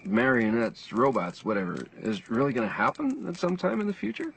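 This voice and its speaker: Wary, curious stranger: creaky mid-range voice, lively intonation, hesitant questioning, radio play. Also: male voice, medium pitch, a little fast, slight background noise. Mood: uneasy curiosity. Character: curious, uneasy male listener questioning a stranger. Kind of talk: radio drama acting.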